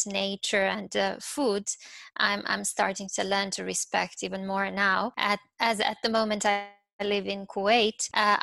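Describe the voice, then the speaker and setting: A woman's voice: mid-range voice, creaky, fairly bright tone, dry recording. A middle-aged woman with a Serbian accent, giving reflective interview answers and often searching for her words. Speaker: interview guest, university professor.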